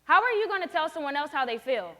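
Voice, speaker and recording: Firm, high-voiced teenage girl presenter. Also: very animated, fast, fairly bright tone. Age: late teens. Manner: firm, explanatory, lecturing tone. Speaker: student presenter playing a group facilitator. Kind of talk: student presentation with a scripted role-play.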